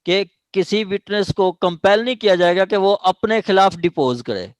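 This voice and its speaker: Pakistani guy teaching law class, kinda high voice, dry sound, lots of umm and "theek hai". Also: no room sound. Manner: explains slowly with many fillers, checks understanding. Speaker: law lecturer. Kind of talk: classroom lecture on evidence law.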